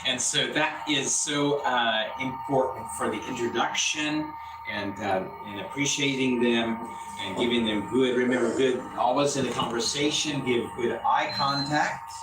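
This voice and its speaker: A man's voice: medium pitch, unhurried. A man teaching a classroom lesson, speaking plainly and repeating himself as he drills his students. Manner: repetitive, drills students with call-and-response. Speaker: English teacher. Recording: echoey classroom from far off, noisy.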